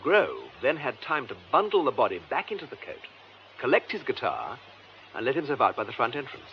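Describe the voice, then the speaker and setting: Composed English detective superintendent, radio drama. Formal, methodical, varied intonation, slightly noisy recording. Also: male voice, medium pitch, wildly swooping pitch. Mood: grave, narrating. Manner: measured, formal, precise delivery. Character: composed, methodical male police superintendent explaining a case. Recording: muffled lo-fi radio drama, faint noise.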